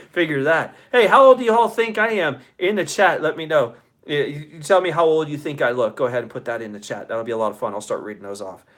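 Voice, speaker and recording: Higher-voiced man in his forties, casual streamer. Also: fairly quick, a bit bright. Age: 40s. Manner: profane, enthusiastic, chummy slang. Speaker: show host. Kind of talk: casual livestream chat, reading audience comments.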